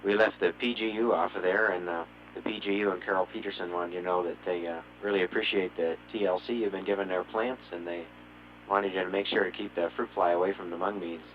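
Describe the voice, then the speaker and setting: Flat, deep-voiced ground controller man. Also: fast talker, slight background noise. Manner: brief, procedural. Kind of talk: radio exchange with spacecraft. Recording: dull radio transmission.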